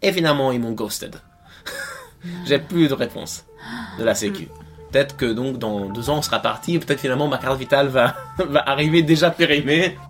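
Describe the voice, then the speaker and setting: A man from Italy chatting as an interview guest, halting and restarting, with a wry edge. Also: mid-range voice, lively intonation, soft background music. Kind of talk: casual chat.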